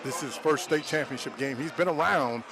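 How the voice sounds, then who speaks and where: Quick-talking male football color commentator. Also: medium pitch. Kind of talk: live football analysis, conversational.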